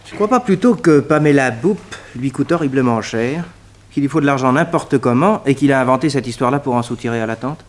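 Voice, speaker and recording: An actor playing a sceptical, wry detective's sidekick, casual and colloquial, in a 1960s French radio drama. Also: male voice, medium pitch, lively intonation, brisk, natural voice.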